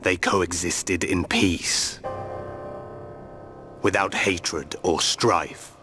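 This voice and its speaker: Solemn vengeful game warlord, kinda deep, really slow formal talk, sad and reflective, music behind. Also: male voice, fairly even intonation. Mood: grave. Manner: solemn, formal, deliberate delivery. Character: grave, sorrowful male war leader, vengeful yet reflective. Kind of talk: dramatic video game cutscene acting.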